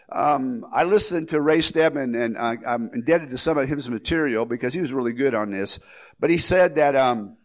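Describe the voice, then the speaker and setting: A man with a slight cold preaching a casual, rambling verse-by-verse sermon as pastor. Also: on the high side. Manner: rambling, folksy, with restarts and asides. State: has a head cold.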